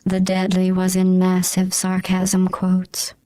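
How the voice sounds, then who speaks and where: A woman voicing a cold, murderous, sarcastic artificial intelligence in a video game, deadpan, with a synthetic voice processed flat and robotic. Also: takes it slowly, no room sound, low-quality recording. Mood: deadpan menace. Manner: monotone, deadpan, menacing. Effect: robotic pitch-flattened voice processing. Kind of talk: scripted video game villain monologue.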